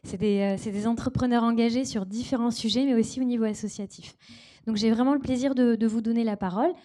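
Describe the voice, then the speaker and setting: A woman's voice: fairly high pitch, steady tone, fast, warm tone. A woman hosting a conference panel and introducing the speakers, with frequent hesitations and fillers. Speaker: event host. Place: conference stage.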